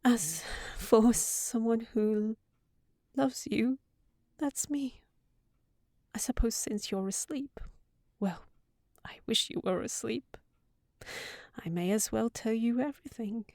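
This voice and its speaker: Woman playing a slow-spoken, tender young fairytale housekeeper. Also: on the high side, dry recording, studio quality. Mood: tender, confessional. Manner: tender, fretful rambling with sighs and hushed asides. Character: sensible young fairytale housekeeper secretly in love with a wizard. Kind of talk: intimate scripted fantasy audio roleplay.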